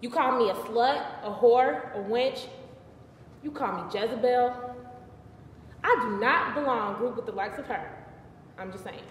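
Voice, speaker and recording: A woman's voice: on the high side, measured pace, long pauses, natural voice. A young woman performing a live theatrical monologue as an indignant, wry biblical queen, conversational and emphatic. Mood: wry, defiant. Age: early 20s. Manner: conversational, sassy, emphatic, exasperated. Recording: distant mic, echoey hall, faint noise.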